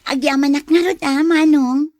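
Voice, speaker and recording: A woman's voice: sits high, fairly even intonation, normal speed, a little mellow, no echo, clean studio sound, natural voice. An actress playing a young student, a coaxing, secretive girlfriend who is after money, in a radio drama.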